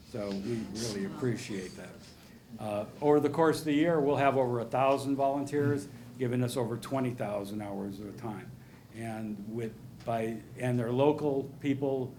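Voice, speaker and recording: A man's voice: medium pitch, a little mellow, slight background noise. A man presenting his home repair program to a city council, earnest and rambling, often correcting himself. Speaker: nonprofit program director. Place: council chamber.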